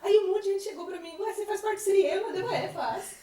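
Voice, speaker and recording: A woman's voice: very high-pitched, quick pace, mellow. A woman, a newer theatre group member, chatting casually on a podcast. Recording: echoey room, distant mic.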